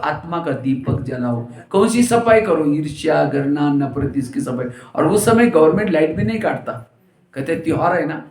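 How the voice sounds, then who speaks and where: A man giving a spiritual discourse, telling funny stories and acting out what people said. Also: sits high. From India. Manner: storytelling with jokes and acted quotes. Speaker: spiritual teacher. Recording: roomy hall echo.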